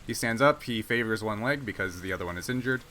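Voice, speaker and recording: A man's voice: on the low side, quick pace, sharp tone. A man acting as game master, casually narrating and ruling on a tabletop game. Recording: clear background noise.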